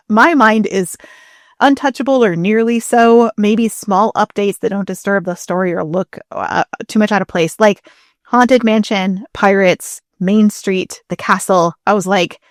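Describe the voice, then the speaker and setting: Female podcast co-host, mid-pitched, chatty explanatory delivery, recorded close and dry. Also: no echo. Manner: conversational, explanatory. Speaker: historian. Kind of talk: casual podcast discussion.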